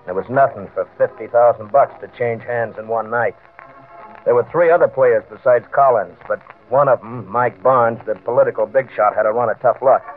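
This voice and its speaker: A man's voice: mid-range voice, moderate pace, close to an everyday voice. An actor playing a desperate, nervous small-time gambler telling of his downfall in colloquial slang, in an old-time radio crime drama. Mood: brooding. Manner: colloquial, tough-guy slang, stammering under pressure. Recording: vintage radio, narrow band, faint music.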